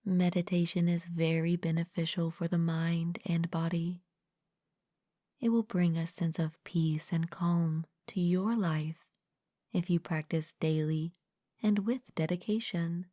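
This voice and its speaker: A woman leading a guided meditation as a mindfulness coach, speaking gently and calmly in a soft, breathy, partly whispered voice, close to the mic. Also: fairly low pitch, dry recording. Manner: gentle, calm, unhurried with long pauses. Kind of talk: guided meditation, soothing scripted narration.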